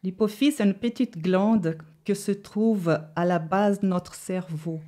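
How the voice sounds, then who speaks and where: Woman, interview guest, unhurried, dark mellow tone, hesitant explaining. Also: mid-range voice. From Brazil. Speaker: guest giving testimony. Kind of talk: personal testimony in an interview. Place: studio set.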